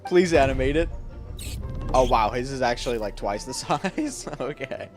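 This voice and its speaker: Lively young man doing game commentary. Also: medium pitch, normal speed, over loud music. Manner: joking, slangy reactions. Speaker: gaming streamer. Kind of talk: casual let's-play commentary.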